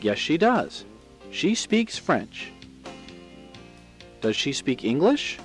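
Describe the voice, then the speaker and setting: A man's voice: on the high side, sing-song, slow pace, fairly bright tone, faint music behind. An American man presenting a scripted English drill with questions and instructions.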